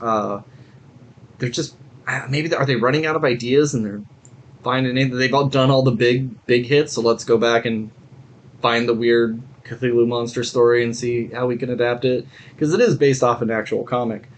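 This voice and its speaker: A man's voice: medium pitch, fairly even intonation, normal speed. Casual man chatting as podcast co-host. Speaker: podcast co-host reviewing movies and shows. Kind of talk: casual chat.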